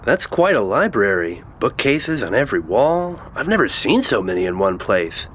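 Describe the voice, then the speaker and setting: A man playing an earnest, devout young alien nephew, barely adult, speaking in a measured way in an audio drama. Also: medium pitch, big pitch swings, fairly bright tone, slight background noise. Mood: earnest, reserved.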